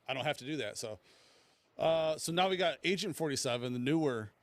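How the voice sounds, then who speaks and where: A man co-hosting a casual film podcast, explaining his views in a halting, measured way. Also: fairly high pitch, animated, a bit bright, no room sound. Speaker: podcast co-host.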